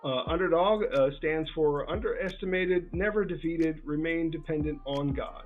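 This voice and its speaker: A man hosting a casual sports interview as a football scout, talking in a halting, informal, conversational way. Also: a little high, soft background music. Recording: thin, narrowband phone-like call sound.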